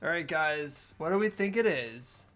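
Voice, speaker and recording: Casual male gaming host: lively pitch swings, chatting with friends. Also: a little slow, music in the background. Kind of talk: casual group chat during a game playthrough.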